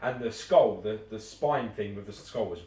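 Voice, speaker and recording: A man's voice: on the low side, normal speed, a little mellow. A man reviewing collectible statues in casual chat, blunt and swearing casually. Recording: echoey room, mic at a distance.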